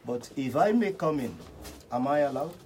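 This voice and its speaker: A Nigerian man speaking as a panel guest in a radio political debate, politely and deliberately. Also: medium pitch, animated, a little mellow. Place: radio studio. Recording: faint background noise.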